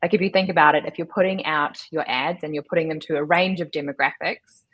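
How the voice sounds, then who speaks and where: A woman, giving measured, instructive explanations as an interview guest. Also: deep voice, fairly even intonation, dull audio. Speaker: guest, digital agency chief executive.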